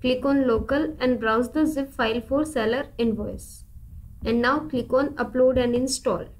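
A woman with a high-pitched voice presents a software tutorial. Her delivery is scripted and instructional, with deliberate phrasing as she takes each step in turn. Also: a little hiss or hum, low-quality recording. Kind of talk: scripted step-by-step screen demo narration.

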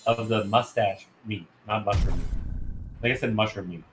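A man's voice: on the low side, fairly even intonation, normal speed. Male speedrun commentator, casual bantering delivery, roomy sound, game music underneath. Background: video game music.

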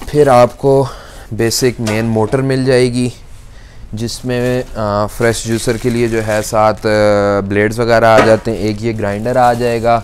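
A man's voice: fairly low pitch, level tone, a little slow, slight background noise. A young man, a shop salesman who demonstrates a kitchen appliance step by step in an electronics store. He talks patiently and often stretches "aa" fillers between phrases. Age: young adult. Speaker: shop salesman reviewing products. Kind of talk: product demonstration.

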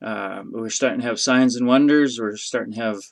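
A man in his fifties giving an informal, unscripted religious teaching, speaking haltingly and thoughtfully as he searches for words, recorded in a small room. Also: mid-range voice, vocal fry. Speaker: host of a religious teaching video.

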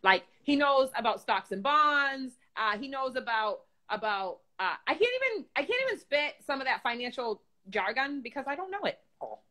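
A man voicing a brash young woman puppet host with a New York accent, loud and slangy while reading the news. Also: extremely high pitch, big pitch swings, normal speed, few pauses, heavily acted. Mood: sassy. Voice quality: loud, profane, slangy, bubbly. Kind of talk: comedic puppet news chat.

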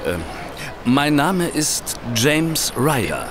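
Slow-paced, nervous man. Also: fairly high pitch, big pitch swings, noisy background, exaggerated voice. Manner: hesitant, anxious, stammering. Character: nervous, evasive male hotel steward. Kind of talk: German audio drama acting.

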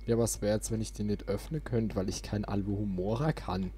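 A man's voice: lowish voice, steady tone, a little fast, mellow, a little hiss or hum. A young man hosting a let's play with casual gameplay commentary. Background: video game sound.

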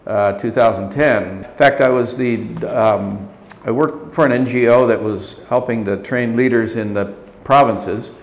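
A man in his sixties, an interview guest, talking in a measured, thoughtful way with frequent restarts in an office meeting room. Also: medium pitch. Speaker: interview guest, leadership consultant. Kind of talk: reflective interview talk. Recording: roomy indoor sound.

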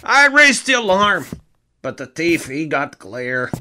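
A man acting a plain-spoken small-town bank worker in a radio western, telling things in a dramatic, drawn-out way. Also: on the high side, big pitch swings, bright, forceful tone, slight background noise, exaggerated voice. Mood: shaken.

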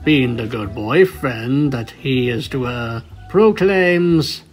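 Slow-paced male story narrator over music. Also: medium pitch, lively intonation. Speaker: narrator of a fan fiction reading. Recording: music bed behind the voice.

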